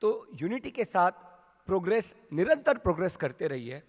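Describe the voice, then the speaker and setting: A man with an Indian accent giving a rousing motivational speech to his company's sales team, asking the crowd questions and building to shouts. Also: high-pitched, very animated, moderate pace. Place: large hall at a training event. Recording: long hall reverb.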